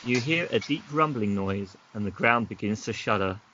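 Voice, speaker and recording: A man acting as game master, reading gamebook narration aloud in an unhurried way and mixing it with casual chat. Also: on the low side, lively intonation, background noise. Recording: dull lo-fi recording.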